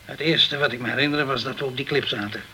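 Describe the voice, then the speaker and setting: An actor playing a guilt-ridden, hard-drinking, middle-aged ship's captain in a radio crime drama, halting and defensive, stumbling over his words. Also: medium pitch, steady tone, sharp tone, slight background noise.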